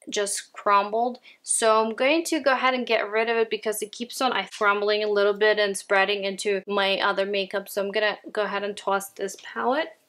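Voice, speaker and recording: This is a woman with a Ukrainian accent, talking through her makeup in a relaxed, chatty way. Her pitch sits in a middle range, and she often speaks straight to her viewers. She is recording indoors at home. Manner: chatty, often addresses viewers as "you guys". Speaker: beauty vlogger. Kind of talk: casual makeup declutter chat.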